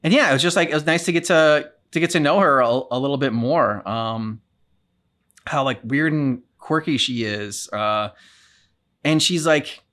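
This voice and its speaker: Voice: mid-range voice, normal speed, a bit bright. A reaction channel co-host casually discussing a video game in a loose, wry, meandering way.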